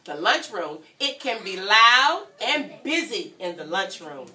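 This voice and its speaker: A woman's voice: medium pitch, sing-song, measured pace, pushed and bright. A woman reading a story aloud to young children, asking questions and prompting them to repeat as a teacher would. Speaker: guest reader and teacher at children's story time. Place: indoor room with a group of children. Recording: roomy, distant mic with children around. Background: children's voices.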